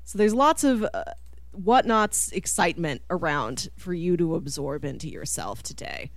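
A woman hosting a podcast, chatting casually about film in an animated, expressive way. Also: on the low side, normal speed, no echo. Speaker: podcast host.

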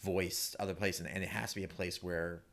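A man speaking as a guest in a casual panel discussion, halting and repeating words, with emphatic turns. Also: low voice, flat delivery, a bit bright.

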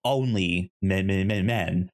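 A man co-hosting a casual discussion, speaking haltingly and stuttering on repeated sounds and words. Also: low-pitched. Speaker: podcast co-host. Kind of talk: casual chat, film discussion.

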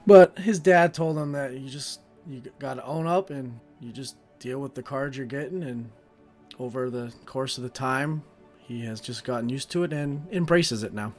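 A man's voice: mid-range voice, normal speed, darkish tone. A young man answering interview questions casually as a player describing his fantasy game character. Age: young adult. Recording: music behind the voice. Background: background music.

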